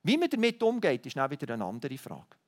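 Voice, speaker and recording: A man's voice: on the high side, wildly swooping pitch, fairly quick. Earnest man preaching. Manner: earnest, teaching, thinks aloud with restarts. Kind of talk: sermon to a congregation.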